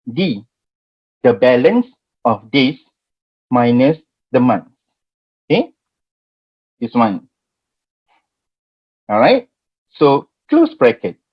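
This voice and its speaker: A man's voice: medium pitch, slightly rough, lo-fi sound. A man in his forties with a Malaysian accent, teaching a spreadsheet class in a chatty way that keeps checking in with "okay?" and "right?". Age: 40s. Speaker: Excel trainer. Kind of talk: live screen-share spreadsheet tutorial.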